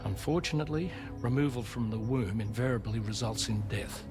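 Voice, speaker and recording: An actor playing a learned, clinical expert giving a grim briefing in a video game, speaking formally and precisely. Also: male voice, mid-range voice, brisk, over music, close to an everyday voice. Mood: grave, clinical. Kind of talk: video game cutscene exposition.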